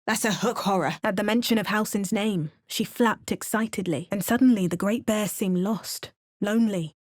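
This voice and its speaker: A woman's voice: medium pitch, normal speed, no room sound, natural voice. A woman voicing a wry adventurer in a dry studio recording. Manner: dry, understated remarks and calm storybook narration. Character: female adventurer, wry and watchful. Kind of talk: video game voice acting. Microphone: close studio mic.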